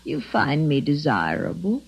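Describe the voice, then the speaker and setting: An actress playing a seductive, proud woman in a 1950s radio drama, with formal, deliberate phrasing. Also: low voice, wildly swooping pitch, creaky, husky, heavily acted, poor audio. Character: seductive, proud Chinese woman turning imperious when refused.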